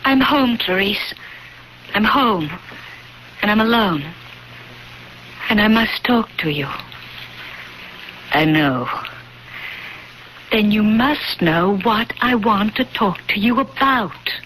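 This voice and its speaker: A troubled young woman with a secret, in the style of 1970s radio drama. Her delivery is slow, hesitant and dramatic, at a middling pitch. A light hiss of an old broadcast sits on the recording. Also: lively intonation, fairly bright tone, rough recording. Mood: intense, pleading. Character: anxious young woman hiding a supernatural secret.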